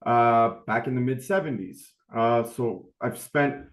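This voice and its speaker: A man's voice: medium pitch, measured pace, echoey room. A middle-aged man speaking as a panelist on a webinar, humble and rambling, with many fillers. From United States. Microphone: video call.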